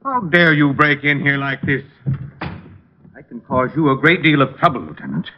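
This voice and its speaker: An actor playing a haughty, indignant lawyer cornered as a murder suspect in an old radio drama, clipped and defensive. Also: male voice, a little high, sing-song, normal speed. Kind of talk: 1940s-50s radio crime drama acting. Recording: muffled old radio broadcast.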